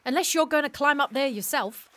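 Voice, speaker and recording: A woman's voice: high voice, brisk, bright, forceful tone, no room sound. An actress playing a spirited, pampered young lady in a period audio drama, dramatic, coaxing and playful. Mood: eager, coaxing.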